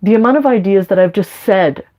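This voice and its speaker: A woman's voice: mid-range voice, brisk, warm tone. A young woman live streaming, talking candidly and rambling with many fillers and restarts.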